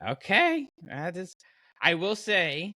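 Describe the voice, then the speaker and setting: A man hosting a casual fan podcast, talking in an animated, colloquial way and explaining his reasoning at length. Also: high voice, takes it slowly, no room sound, studio quality. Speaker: podcast host.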